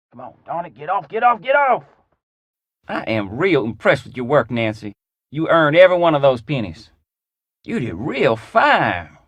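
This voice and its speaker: An actor playing a folksy, drawling mechanic with a Southern US accent in a video game. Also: male voice, fairly high pitch, a bit bright, dry recording, clean studio sound, heavily acted. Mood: suspicious, then pleased. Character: folksy drawling male mechanic, gruff but friendly. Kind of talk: video game character dialogue.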